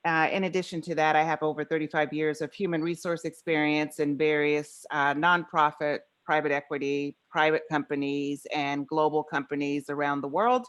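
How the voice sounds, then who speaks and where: A woman's voice: deep voice, level tone, normal speed, hardly any pauses. A woman in her fifties or older, a professor, introducing herself as a task force member on a video call. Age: 50s or older. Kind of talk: self-introduction in a meeting.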